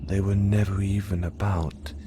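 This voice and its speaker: A man voicing an obsessive, menacing male supervillain in an audio roleplay, speaking in a slow, hushed, gloating whisper. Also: low voice, little pitch movement, noisy background, close to an everyday voice. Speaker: voice actor.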